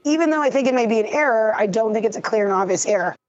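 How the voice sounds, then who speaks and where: A woman who has lost her voice, explaining refereeing decisions in a measured, technical way on a podcast. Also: fairly high pitch, lively intonation, lo-fi sound. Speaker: refereeing analyst. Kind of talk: podcast discussion.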